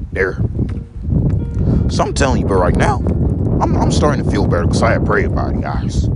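Young man rambling over background music. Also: mid-range voice. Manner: repeats each phrase several times, keeps addressing viewers as "guys". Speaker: vlogger. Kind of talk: rambling casual monologue to viewers.

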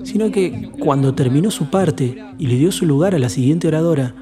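A man's voice: on the high side, lively intonation. Solemn man narrating over music. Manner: measured, solemn and lyrical. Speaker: podcast host and narrator. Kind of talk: reflective scripted narration. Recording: long reverb with music bed.

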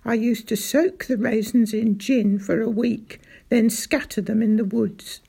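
A woman's voice: fairly high pitch, a little slow, creaky, husky, exaggerated voice. Woman doing a fussy little old country doctor. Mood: genial. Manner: excitable, grave then outraged. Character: small elderly country doctor, fussy and indignant.

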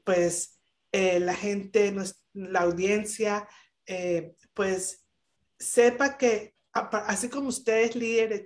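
Woman, podcast guest, earnest, emphatic, hesitant fillers, video call, mid pitch. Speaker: guest speaker. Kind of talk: motivational talk on a podcast panel.

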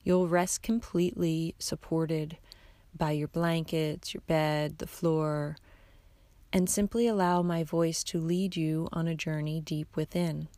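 A woman guiding a meditation in a soft, soothing, hushed voice that turns breathy at times, with unhurried instructions and long pauses. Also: low voice, fairly even intonation, dry recording. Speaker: yoga nidra guide, host of the episodes. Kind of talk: guided meditation narration.

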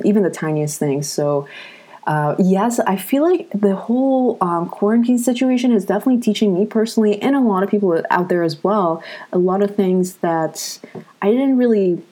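A woman's voice: lowish voice, dark tone. A young woman in her twenties hosting a show, chatting casually to viewers with many fillers while coming down with a cold. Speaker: host.

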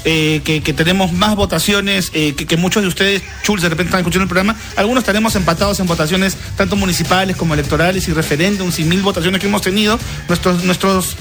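A man's voice: high voice, fairly even intonation, fast talker, few pauses, faint music behind. A Peruvian man hosting a casual radio show, chatting with frequent tag questions and repetitions.

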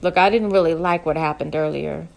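Woman voicing a Texan ranch hand, a gallant young man, pitched lower, with a slow drawl. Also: gravelly, darkish tone. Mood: stern. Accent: Texas drawl.

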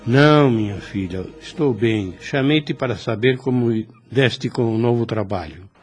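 A man's voice: mid-range voice, a little slow, breathy edge. A man playing a kindly, fatherly older priest in a radio soap opera, with formal and solemn phrasing. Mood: reassuring. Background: music under the voice.

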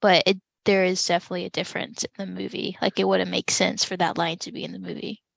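A woman's voice: lowish voice, little pitch movement, fast talker, vocal fry, no echo. A woman co-hosting a podcast, casually sharing her thoughts on a book. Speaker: podcast co-host. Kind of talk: casual book discussion chat.

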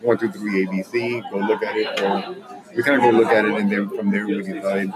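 Middle aged guy from LA, lowish voice, rambly casual answers, really noisy crowd behind him. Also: flat delivery, fairly quick. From Los Angeles area, United States. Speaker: interview guest, realtor. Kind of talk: casual interview chat. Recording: loud crowd chatter behind.

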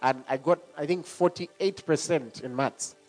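A middle-aged man preaching a sermon. He talks in an animated way and laughs often between points. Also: fairly high pitch, a little slow, soft background music, poor audio. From Zambia.

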